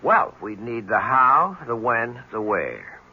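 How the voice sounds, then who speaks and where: An actor playing a persistent newspaper reporter in a 1970s radio mystery, firing off clipped, probing questions. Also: male voice, medium pitch, wildly swooping pitch, slow pace, vocal fry, bright, forceful tone, slightly rough, heavily acted. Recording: dull, noisy old radio broadcast.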